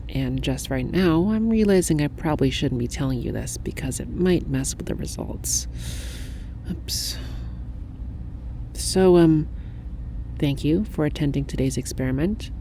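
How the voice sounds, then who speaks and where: A woman playing a secretive, businesslike researcher in a soft-spoken ASMR roleplay, speaking in a politely official but halting way. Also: deep voice, slight background noise. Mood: evasive. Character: suspicious young female linguist researcher, secretive and businesslike. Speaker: researcher at a parapsychology institute.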